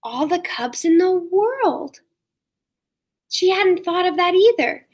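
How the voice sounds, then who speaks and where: High-voiced woman reading slowly to young children. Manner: expressive, asks listeners questions, drawn-out emphasis. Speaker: first grade teacher reading to children. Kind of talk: read-aloud storytelling for young children.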